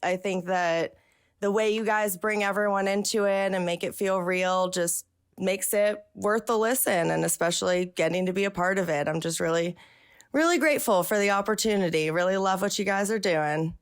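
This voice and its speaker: A woman from West Virginia calling in to a podcast late at night, talked out, with vocal fry, chatting enthusiastically and speeding up when excited. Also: medium pitch, normal speed. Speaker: caller and guest. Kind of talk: casual call-in podcast chat. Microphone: video call.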